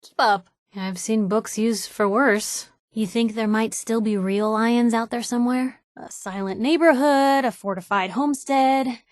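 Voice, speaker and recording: A young woman with an American accent playing a plucky, wisecracking reporter companion in a video game, with a wry, expressive delivery. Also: a little high, a little slow, hardly any pauses, no echo, studio quality, lightly acted. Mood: wry, musing. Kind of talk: video game voice acting, in-character banter.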